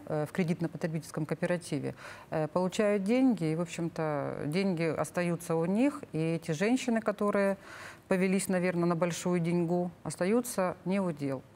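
A woman's voice: on the low side, a little mellow. A middle-aged woman explaining things as an expert guest in a studio interview, searching for words. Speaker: government official, expert guest. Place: TV studio, seated at a table. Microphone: lapel.

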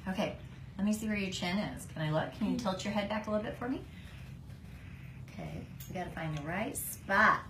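A middle-aged woman gently encouraging and instructing a young child, like a therapist showing how a device works. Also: medium pitch, fairly quick. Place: small indoor clinic room. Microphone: handheld phone camera at short distance. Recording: roomy, noisy phone video recording.

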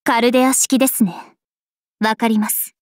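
A woman playing a regal, serene young planetary spirit princess in an anime game, speaking formally and with measured elegance. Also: fairly high pitch, steady tone, dry recording, lightly acted. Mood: serene, reflective. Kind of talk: scripted anime game voice lines. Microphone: studio microphone. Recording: music behind a close dry studio voice.

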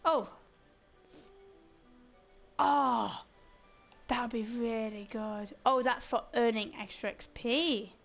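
A hoarse woman chatting casually as she streams a video game, thinking aloud and grumbling playfully. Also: fairly high pitch, takes it slowly, long pauses, faint music behind. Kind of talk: casual let's-play commentary.

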